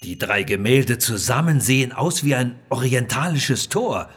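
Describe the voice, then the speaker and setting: A man's voice: mid-range voice, animated, measured pace, a little hiss or hum, natural voice. A man voicing a brave, decisive male adventurer in a children's adventure story. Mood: focused.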